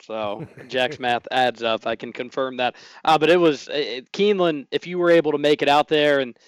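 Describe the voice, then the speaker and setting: Hoarse, upbeat radio sports host. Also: male voice, mid-range voice, normal speed, no echo. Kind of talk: casual radio sports talk.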